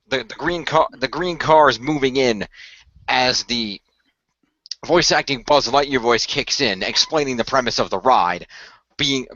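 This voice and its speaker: A man's voice: medium pitch, bright, forceful tone, dry recording, muffled recording. A man improvising narration for an amateur radio play, often restarting his phrases.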